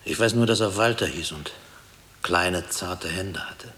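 An actor playing a grave former prisoner haunted by wartime memories, speaking in a measured, reflective way in a radio play. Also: male voice, low voice, a bit bright. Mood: sombre reflection. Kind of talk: German radio play acting. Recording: hissy old radio drama tape.

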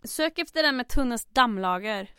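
An actress playing a determined, bossy heroine of a radio play. Her voice is high, bright and forceful, with brisk assertive phrasing in a dry, close recording. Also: lively intonation, clear, resonant tone, no echo. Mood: curious, wry. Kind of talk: audio drama dialogue.

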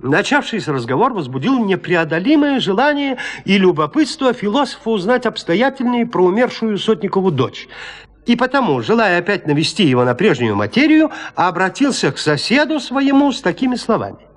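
A man's voice: sits high, very animated, brisk. A man narrating a classic literary tale in an audio play. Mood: measured narration.

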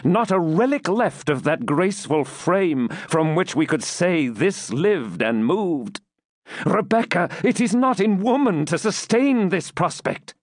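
A proud, domineering templar knight, as a man reads him aloud, speaking with fierce, impassioned command. His pitch rises and falls in a lively way. The sound is close and dry. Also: unhurried, no echo.

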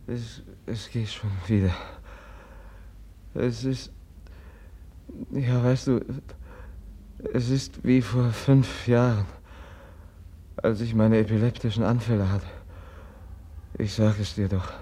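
An actor playing a gentle, troubled, frail man in a German radio drama, faltering and anxious. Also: on the low side, steady tone, breathy edge. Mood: dazed, faltering. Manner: halting, faltering, repeats words.

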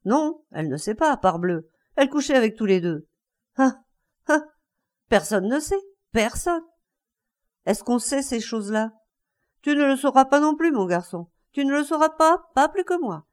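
A woman's voice: on the high side, darkish tone, pitched above the performer's own voice. A woman voicing a bitter, enraged older man, a betrayed husband in a story, breathless and insistent in his outbursts. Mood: frenzied, despairing.

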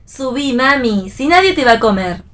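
A defiant young Argentine daughter in a radio play. Her voice is on the high side, her manner curt and sarcastic. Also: sing-song, moderate pace, fairly bright tone. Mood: coaxing.

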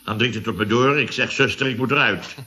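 An actor playing a pompous, aggrieved building boss in an old radio comedy, exclaiming and complaining with a Netherlandic Dutch accent. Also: male voice, medium pitch, brisk, pushed and bright, lightly acted. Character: blustering, self-important male boss of a building firm, aggrieved. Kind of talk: 1970s radio comedy acting.

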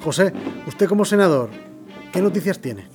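A man playing a stern, calculating official with a formal, measured delivery in an audio drama. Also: sits high, lively intonation, a little mellow, faint music behind. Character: stern, calculating male official plotting crackdowns.

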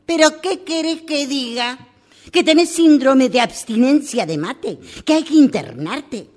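Radio play: actress as long-suffering, exasperated Argentine wife, high-pitched, with big melodic swings. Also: female voice, lively intonation, a little slow. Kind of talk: radio drama acting.

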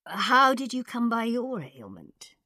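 A woman voicing a little old male emperor in a storybook, thin and high-pitched, querulous yet regal. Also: sing-song, slow, bright, forceful tone, dry recording, studio quality, exaggerated voice. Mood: pained, regal. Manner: imperious, querulous, yelping with pain.

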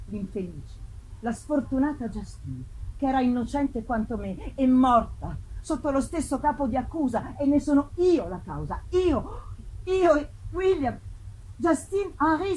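A woman's voice: high-pitched, dark tone, poor audio. A woman playing a tormented, guilt-ridden young man in a dramatic gothic novel reading, anguished and emphatic. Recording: clear background ambience noise.

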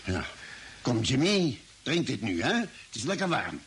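Careful doctor, faintly hissy recording. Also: male voice, medium pitch, unhurried. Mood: soothing. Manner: calm, reassuring, cautioning. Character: cautious, caring male expedition doctor. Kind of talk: mid-20th-century Dutch radio play acting. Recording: hissy old radio drama recording.

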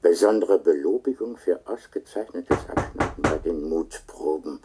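An actor playing an impersonal official who reads a personnel record in a formal, clipped and detached way in a radio drama. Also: male voice, low-pitched, normal speed, noisy background, close to an everyday voice. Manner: clipped, detached, matter-of-fact.

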